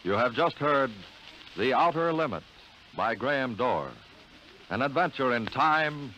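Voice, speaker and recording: A man's voice: medium pitch, animated, unhurried, pushed and bright, faint music behind. A man announcing in 1950s radio style, with a dramatic, teasing delivery, heard on a noisy old broadcast recording.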